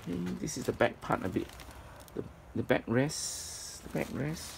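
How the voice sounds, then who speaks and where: A man giving an informal, halting item-by-item walkthrough as a presenter at a storage unit. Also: animated, gravelly. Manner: halting, piecemeal delivery. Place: storage facility, at open unit. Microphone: handheld phone camera, speaker behind it. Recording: noisy handheld recording, traffic-like rumble.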